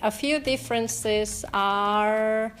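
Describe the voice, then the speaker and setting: A woman's voice: fairly high pitch, steady tone, very slow, fairly bright tone, soft background music, low-quality recording. A female teacher from Spain with a Spanish accent, telling stories on camera with fillers. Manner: anecdotal, fillers, laughs while telling. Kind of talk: interview testimonial.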